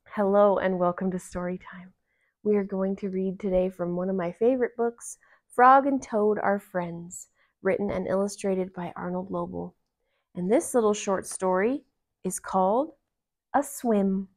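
A woman in her thirties reading a children's story aloud with expressive, deliberate narration. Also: medium pitch. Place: living room, indoors on a couch.

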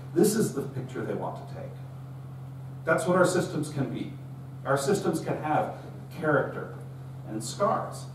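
A middle-aged man giving a conversational, storytelling technical talk to a meetup audience, recorded from a distance. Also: mid-range voice. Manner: conversational storytelling, acts out quoted dialogue. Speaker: conference speaker. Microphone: room recording from far camera. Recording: roomy, distant, faint hum.

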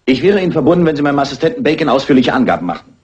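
An actor playing a stern, persistent police inspector in a dubbed classic crime film, dryly polite and matter-of-fact. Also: male voice, on the high side, very animated, rapid-fire, darkish tone, husky. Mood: dry, insistent. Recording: muffled old film soundtrack.

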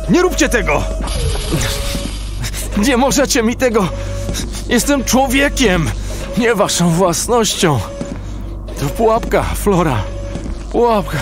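Defiant veteran commando for audio drama, emotional, very animated intonation, slow halting delivery. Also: male voice, high voice, over music. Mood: desperate pleading. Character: defiant, distrustful veteran male commando, hot-tempered. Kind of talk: sci-fi audio drama acting.

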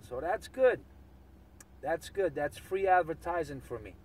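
A man's voice: fairly high pitch, animated, measured pace. A man chatting casually over CB radio, often repeating himself. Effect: CB radio transmission. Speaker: CB radio operator. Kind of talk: casual chat with repetitions. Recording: distant CB radio with light noise.

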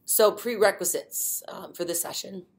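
A middle-aged woman presenting an instructional webinar from a desk webcam, explaining step by step with frequent ums and uhs. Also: medium pitch. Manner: many fillers, unhurried explaining.

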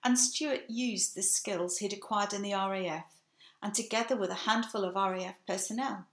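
Older English woman, sixties, reading a home sermon to webcam; earnest, gravelly, roomy sound. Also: medium pitch, steady tone, a bit bright. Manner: measured, earnest delivery. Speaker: preacher. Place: home room with bookshelf, indoors. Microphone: webcam, seated facing camera. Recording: echoey room.

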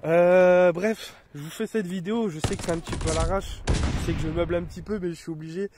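Rambling French gamer with a cold. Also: male voice, sits high, fairly even intonation, fast talker, very noisy. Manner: rambling, many fillers and restarts. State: has a cold. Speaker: gaming YouTuber. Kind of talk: casual chat over gameplay. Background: game gunfire and effects.

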